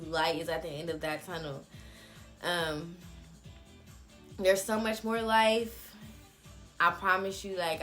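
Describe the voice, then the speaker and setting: A young woman with a slightly low-pitched voice talks in a casual, confessional vlog style. Her delivery is candid, blunt and slangy, as if telling a story straight to her followers. Music plays behind her voice. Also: a bit bright. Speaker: vlogger telling a personal story.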